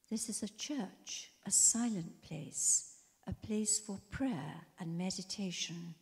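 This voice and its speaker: Slow, hushed woman reading poetry in a hall. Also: fairly low pitch. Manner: drops to a whisper at line ends. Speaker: poetry reader. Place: wood-panelled concert hall stage. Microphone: handheld mic. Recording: echoey concert hall.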